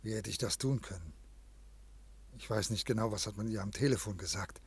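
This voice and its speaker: An evasive, guilt-ridden civil servant in a 1950s radio play. His voice is husky with a gravelly creak, and he speaks in formal, halting phrases, like someone unwell. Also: on the low side, full character voice. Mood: brooding, guilty. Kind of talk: 1950s German radio drama acting.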